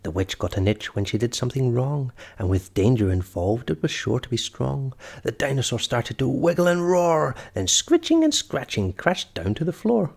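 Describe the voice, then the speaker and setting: Very animated, gravelly male storyteller. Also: mid-range voice, moderate pace, few pauses. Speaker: storyteller and host. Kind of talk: expressive children's story read-aloud.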